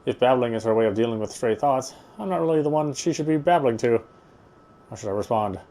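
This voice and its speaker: Male let's player, medium pitch, reading visual novel narration with offhand commentary. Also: expressive, quick pace, dark tone. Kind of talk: read-aloud narration with casual asides.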